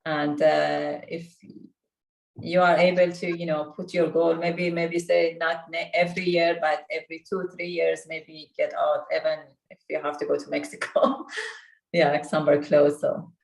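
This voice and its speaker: A woman who grew up in Sweden, a guest on a video call interview, speaking earnestly in halting phrases with many restarts. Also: low-pitched, flat delivery, vocal fry. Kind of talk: casual interview conversation. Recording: roomy echo.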